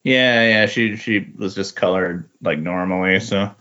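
Guy with a deeper voice, halting comics chat, says like a lot, muffled lofi mic. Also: sharp tone. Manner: halting, tentative phrasing with frequent "like". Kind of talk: casual chat about comics.

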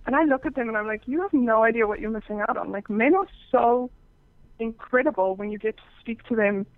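A woman's voice: a little high, brisk, no room sound. A woman from Africa, a guest chatting frankly and casually about her work, with many restarts. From South Africa. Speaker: guest, phone sex operator. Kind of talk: casual interview chat. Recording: dull muffled phone-like sound.

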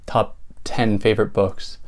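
A man's voice: fairly low pitch, little pitch movement, a little slow, a little mellow. A young man hosting his own video channel, talking casually and thoughtfully about books, with a soothing voice and many fillers. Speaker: book channel host. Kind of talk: casual vlog talk.

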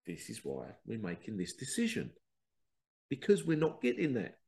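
Stuffy-nosed man with a gravelly voice. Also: lowish voice, wildly swooping pitch, moderate pace, mellow. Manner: rambling, digressive, with acted-out quoted exchanges. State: congested with a cold. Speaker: podcast host. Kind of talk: casual spiritual monologue.

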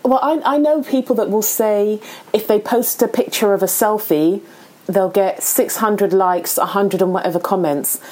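A woman's voice: medium pitch, moderate pace, darkish tone. A woman hosting an interview, speaking in a reflective, earnest and encouraging way. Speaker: podcast host. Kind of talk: casual interview conversation.

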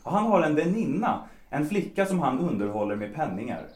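An actor playing a cynical, self-destructive bohemian composer in a period audio drama, world-weary and mocking, with self-pity underneath. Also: male voice, a little high, sing-song, a little mellow. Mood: carefree, defiant. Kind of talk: period stage-play audio drama acting in Swedish. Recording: roomy, echoing sound.

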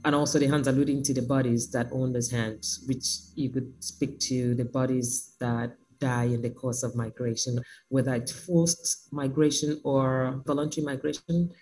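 A woman artist speaking by phone in an interview, reflective and sometimes joking, with lively emphasis. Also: a bass voice, moderate pace, hardly any pauses, faint music behind, low-quality recording. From Nigeria.

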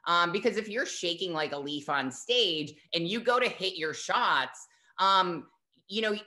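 A fitness coach with a bright, forceful voice, chatting as a guest in a casual interview. They talk in an animated way, full of fillers and quick asides. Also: medium pitch. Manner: animated, uses lots of fillers like um and like.

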